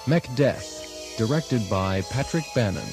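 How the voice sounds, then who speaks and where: Casting: male radio drama announcer, medium pitch, scripted read over clear music. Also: animated, slow.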